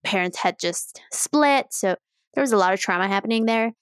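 Woman, from Mexico City, podcast guest, casual candid storytelling, medium pitch, dry recording. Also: normal speed, clean tone, no echo.

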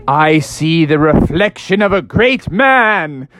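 A woman voicing a patient, earnest toad with a drawn-out, solemn manner in a children's story. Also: low-pitched, lively intonation.

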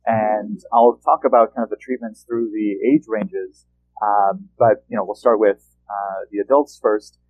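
A male therapist hosting a podcast, explaining treatments in a measured, patient way. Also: on the low side, fairly even intonation, slightly rough, no echo. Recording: tinny phone-like line.

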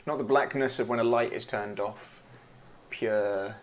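A man running a tabletop game as game master, laughing often and dropping to a slow, hushed delivery for dark narration. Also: fairly low pitch, fairly even intonation.

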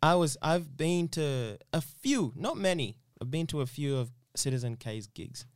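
A man's voice: fairly high pitch, lively intonation, a little slow, clean tone, dry recording, clean studio sound. A man from Uganda chatting casually as an interview guest, rambling and repeating himself with lots of "you know".

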